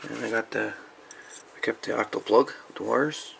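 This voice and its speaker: A man with a lowish, heavily fry-laden voice talks off the cuff like a hobbyist presenting his finds. His delivery is folksy and casual, with no script. The amateur recording carries a light layer of noise. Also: moderate pace, a bit bright, poor audio. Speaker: hobbyist video host. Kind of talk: casual show-and-tell of salvaged radio parts.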